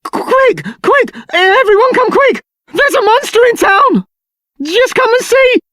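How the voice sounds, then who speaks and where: Shrill, panicked young townsperson. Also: female voice, expressive, slow pace, a bit bright, no room sound, studio quality, exaggerated voice. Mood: panicked, alarmed. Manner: urgent, exclaiming. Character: panicked, high-voiced young townsperson raising the alarm. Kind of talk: video game character acting.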